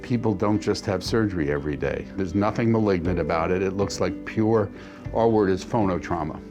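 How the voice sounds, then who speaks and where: A man who is a larynx surgeon, explaining calmly and reassuringly to a patient. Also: sits low, brisk. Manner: calm, reassuring, instructive. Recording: soft guitar music underneath.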